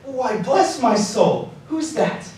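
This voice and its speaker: A man's voice: very high-pitched, sing-song, slow pace, darkish tone, full character voice. A man playing a hearty, astonished young nephew in a live radio drama. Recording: distant echoey stage, faint noise.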